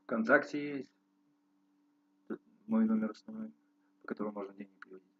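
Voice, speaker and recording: A man's voice: on the low side, a bit bright, husky, highs cut off. A man from Russia rambling casually to his viewers, often breaking off and restarting his sentences. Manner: rambling, restarts often, slow and pondering on some stretches. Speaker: musician vlogger. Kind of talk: casual rambling talk to camera.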